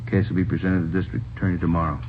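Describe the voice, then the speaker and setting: A man's voice: low-pitched, little pitch movement, racing, music in the background, natural voice. A man acting a firm, formal, by-the-book senior police officer in an old radio drama, on a tinny, hissy recording. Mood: stern.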